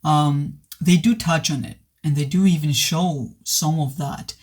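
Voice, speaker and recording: Male reviewer, pitch a bit high, voice worn from sickness, sincere and stop-start. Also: fairly even intonation, measured pace. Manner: hesitant, many restarts, earnest. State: voice worn from recent illness. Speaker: movie reviewer. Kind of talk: casual spoken film review.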